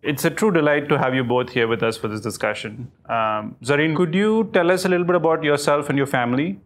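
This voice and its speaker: An interviewer asking gentle, encouraging questions. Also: medium pitch, fairly quick.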